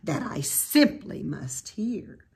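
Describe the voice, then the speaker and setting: A woman's voice: mid-range voice, very animated, slow pace, vocal fry, darkish tone, slightly rough, heavily acted. A woman voicing a sly, mean male storybook creature who gloats and turns falsely sweet when he lies. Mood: gloating.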